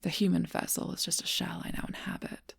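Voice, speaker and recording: A woman voicing a seductive, ageless, possessive female vampire in an ASMR role-play, speaking in a soft, breathy whisper with slow, intimate teasing. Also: on the low side, little pitch movement, no echo, clean studio sound, full character voice. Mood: wistful.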